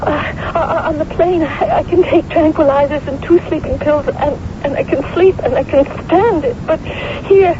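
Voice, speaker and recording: An actress playing a scatterbrained, panicky, babyish diva in an old-time radio drama, flustered, stammering and whiny. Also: female voice, high-pitched, moderate pace, few pauses, close to an everyday voice. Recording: noisy, muffled old radio broadcast recording.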